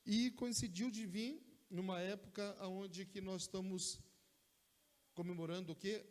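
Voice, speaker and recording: A man from Brazil with a Brazilian Portuguese accent, preaching a sermon in a conversational way, often tossing in quick asides to check the congregation is with him. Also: high-pitched, steady tone. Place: church sanctuary. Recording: long church hall reverb.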